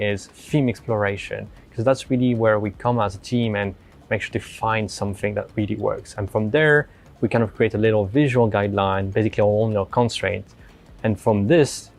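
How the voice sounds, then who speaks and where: A man speaking as an interview guest, explaining his work casually in a halting, enthusiastic way with emphatic words. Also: lowish voice, gravelly, a little mellow. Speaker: lead artist, interview guest.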